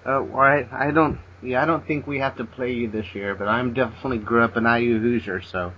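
A man's voice: medium pitch, fairly even intonation, a little fast. A man hosting a podcast interview, talking casually with hesitant, stumbling restarts. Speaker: podcast host. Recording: dull lo-fi sound with faint noise.

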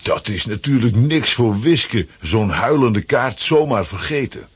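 A man's voice: medium pitch, expressive, a little slow, a little hiss or hum. A man narrating a scripted children's audio play as its storyteller. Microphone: close, dry studio microphone.

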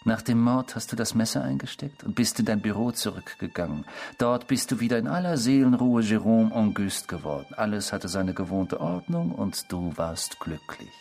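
Male tormentor for radio drama: insinuating, theatrical, sometimes hushed, mocking, with music underneath. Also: on the low side, moderate pace, creaky. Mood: smug, insinuating. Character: sinister, taunting tormentor, a man's cruel inner self. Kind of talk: German radio drama acting.

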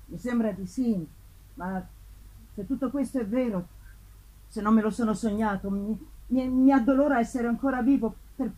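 A woman voicing an anguished, guilt-ridden gentleman in a dramatic, halting reading of a gothic novel. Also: a little high, pitched above the performer's own voice, lightly acted, low-quality recording. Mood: weak, sorrowful. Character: anguished, guilt-ridden young gentleman recalling his torment.

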